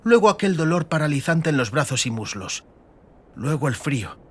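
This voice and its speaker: A woman's voice: low voice, very animated, moderate pace, slightly rough, heavily acted. A woman playing a defiant, exhausted but determined young sorceress girl in a dramatised fantasy audiobook. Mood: weary focus.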